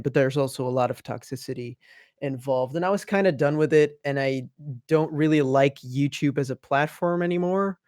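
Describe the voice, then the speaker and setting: A young man with a Dutch accent, a native Dutch speaker, chatting as a podcast guest in an upbeat, gushing way with many quick restarts. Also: mid-range voice, darkish tone, no echo, studio quality. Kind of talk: casual interview chat.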